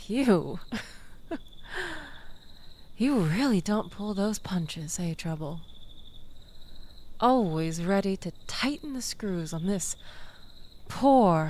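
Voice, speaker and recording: A woman playing a manipulative, tipsy, pleading ex-lover in an intimate audio roleplay, halting and dramatic with sighs and breathy drops toward a whisper. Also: medium pitch, darkish tone, slight background noise. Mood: wry, bitter.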